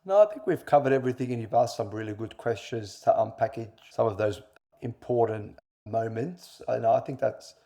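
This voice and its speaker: A man's voice: medium pitch, normal speed, warm tone. A middle-aged man answering interview questions earnestly, explaining with examples and repeating points for emphasis. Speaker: interview guest, coach.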